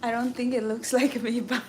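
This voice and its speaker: Slow, laughing East African woman streamer. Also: a little high, slight background noise. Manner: laughs a lot, teasing viewers' guesses. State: sore throat. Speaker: livestream host. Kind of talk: casual live chat with viewers.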